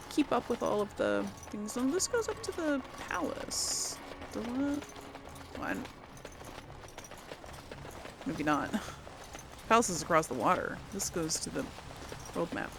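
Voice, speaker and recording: A woman's voice: medium pitch, noisy background. A woman hosting a gaming video, giving casual commentary and thinking aloud informally as she plays.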